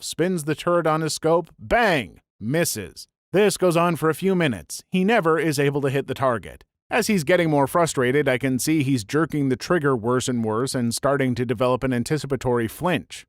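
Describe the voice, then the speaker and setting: A man reading a string of online stories aloud as a scripted narration, brisk and even with dry asides. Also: on the high side, no room sound.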